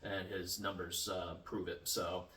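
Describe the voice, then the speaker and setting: A man's voice: low voice, flat delivery, a little slow, sharp tone, echoey room, far from the mic. A man in a casual sports chat, easygoing with many fillers. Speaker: co-host.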